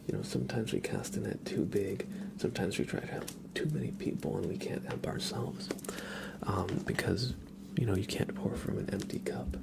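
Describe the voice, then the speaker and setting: Male ASMR host, close-miked breathy whisper, leisurely tempo, hushed and casual with frequent gaps. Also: lowish voice. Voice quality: breathy whisper with creaky voiced patches. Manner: unhurried, hushed, pausing often. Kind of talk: whispered ASMR, casual tarot card reading and chat with viewers.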